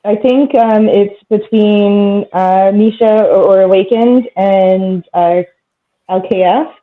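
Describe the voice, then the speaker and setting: Woman on a thin phone-ish call, soft and supportive, chatting in a support group. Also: medium pitch, fairly even intonation, takes it slowly. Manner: warm, gently supportive. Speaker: recovery meeting participant. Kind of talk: casual group sharing. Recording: tinny phone-like video call audio.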